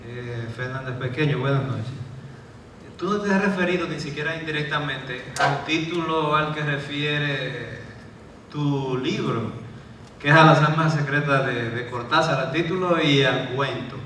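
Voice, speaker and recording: A man's voice: on the high side, measured pace, sharp tone. A man in the audience asking the author a long, roundabout question about a book title. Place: large event hall. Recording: echoey hall from far off, faint noise.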